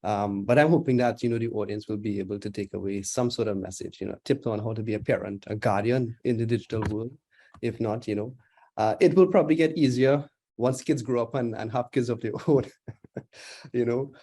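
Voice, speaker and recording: A man with a Trinidadian accent speaks to an online audience over a video call. His tone is warm and mellow, and he talks in a conversational way with many fillers. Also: mid-range voice, brisk. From Trinidad and Tobago. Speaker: guest speaker, digital anthropologist. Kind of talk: webinar presentation.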